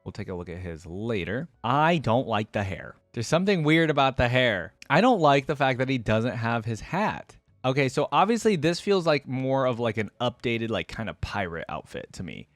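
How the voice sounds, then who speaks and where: A man giving casual, opinionated reaction commentary in an informal way, often softening his views as he goes. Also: mid-range voice, very animated, normal speed, hardly any pauses, no echo, soft background music. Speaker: reaction video host.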